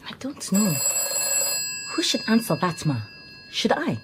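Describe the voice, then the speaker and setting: An actress playing an earnest, deferential secretary in a radio drama, formal and careful, with a Southern African accent. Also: female voice, mid-range voice, sing-song, a little slow, noisy background. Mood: earnest, polite. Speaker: supporting actor in a radio drama.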